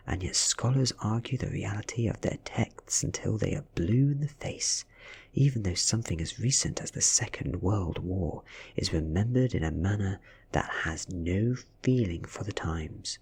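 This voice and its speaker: Male British ASMR reader: very husky, gravelly, soft-spoken storytelling that drifts into whispers. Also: low voice, expressive, normal speed. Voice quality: breathy, often slipping into a whisper.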